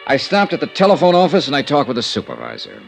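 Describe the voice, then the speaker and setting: An actor playing a shrewd, courteous American insurance investigator in a 1950s radio drama, clipped and probing. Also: male voice, medium pitch, huge pitch swings, fast talker. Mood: wry narrating. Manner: probing, smooth, clipped hard-boiled delivery. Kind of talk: 1950s radio detective drama, first-person narration. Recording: vintage radio recording with music.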